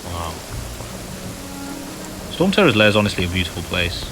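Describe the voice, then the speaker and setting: A man with a lowish voice talks casually as he plays a video game, muttering quick asides to himself. His commentary sits over loud game music. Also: very animated. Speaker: gamer playing through a story quest. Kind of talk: casual let's-play commentary.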